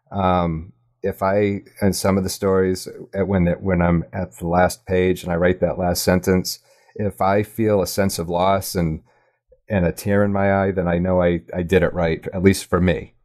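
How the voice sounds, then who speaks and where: Male podcast guest, low-pitched, thoughtful stop-and-start talker in a casual interview. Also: little pitch movement, normal speed, a little mellow. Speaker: podcast guest, audio drama writer and producer.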